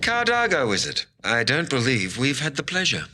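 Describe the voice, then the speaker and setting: An actor playing a suave, menacing alien villain in a science fiction TV drama, falsely courteous with a sleazy, deliberate charm. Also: male voice, mid-range voice, wildly swooping pitch, sharp tone, full character voice. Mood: oily politeness.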